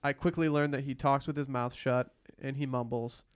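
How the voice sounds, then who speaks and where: A man's voice: medium pitch, fairly even intonation, normal speed, smooth, no echo, studio quality. A man hosting a casual podcast chat about a reality TV show. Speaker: podcast host.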